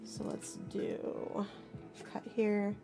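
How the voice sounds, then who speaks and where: A hoarse woman with a husky voice, sick with a chest illness, rambling offhandedly while crafting on a live stream. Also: mid-range voice, monotone, extremely slow, music in the background. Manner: rambling, offhand, self-deprecating. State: hoarse, sick with bronchitis and pneumonia. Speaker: mixed media artist streaming live. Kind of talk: casual livestream chat while crafting.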